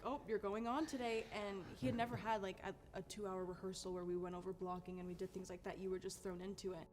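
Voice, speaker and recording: A young woman, a student actor, talking casually in an interview. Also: mid-range voice. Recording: music under the voice.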